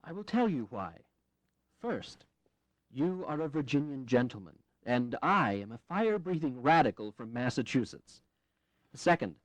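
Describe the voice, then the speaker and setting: A male actor as an eloquent, hot-blooded founding-era statesman in an audio drama. His mid-pitched voice moves up and down with great liveliness, formal and emphatic, blunt yet wry, recorded close and dry. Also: dry recording, close to an everyday voice, rough recording. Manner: emphatic, formal oratorical phrasing. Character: blunt, fiery, eloquent Massachusetts congressman, earnest and wry. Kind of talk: historical audio drama acting.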